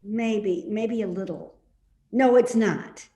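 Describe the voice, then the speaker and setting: A woman's voice: mid-range voice, slow pace, dark tone, reverberant, lightly acted. A woman acting a cantankerous, stubborn and suspicious older mother in a play reading, with a querulous, scolding manner. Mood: groggy, complaining.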